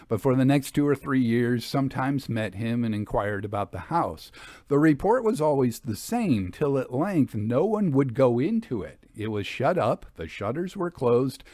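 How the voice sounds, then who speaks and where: A man reads as a storyteller with a lively, widely moving pitch in a middle register. His delivery is measured and articulate, like scripted literary narration, and the sound is dry with no room around it. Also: no echo. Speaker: storytelling podcast host and reader.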